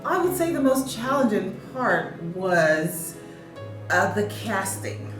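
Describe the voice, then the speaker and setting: A woman's voice: mid-range voice, very animated, takes it slowly. A woman who is a theatre director, speaking reflectively in an interview with frequent fillers. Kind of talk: behind-the-scenes interview. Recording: echoey room, distant mic, music behind.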